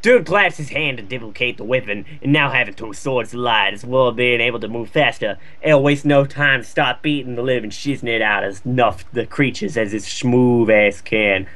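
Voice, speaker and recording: A man's voice: medium pitch, a bit bright. Sweary man reading fanfic aloud. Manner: slangy, sweary, jokey. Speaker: fan fiction reader. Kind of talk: comedic read-aloud with casual commentary.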